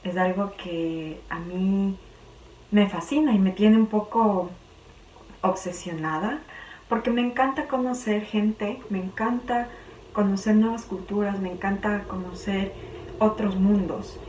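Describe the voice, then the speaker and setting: A woman's voice: mid-range voice, a little slow, a little mellow. Woman with a Quito accent, roomy and distant. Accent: Quito Ecuadorian Spanish. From Ecuador. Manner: chatty, searching for words. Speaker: vlogger. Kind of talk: casual personal vlog. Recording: roomy, distant, faint background hum.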